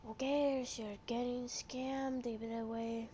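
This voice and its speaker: A woman's voice: a little high, little pitch movement, slow, warm tone, a little hiss or hum. A woman giving casual, offhand commentary on a game she is playing, dry and sceptical in manner. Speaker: gamer commenting on a playthrough.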